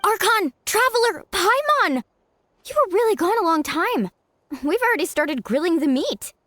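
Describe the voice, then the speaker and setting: Very high, spirited young voice. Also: female voice, very animated, moderate pace, a bit bright, no room sound, full character voice. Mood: eager. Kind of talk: anime game voice acting.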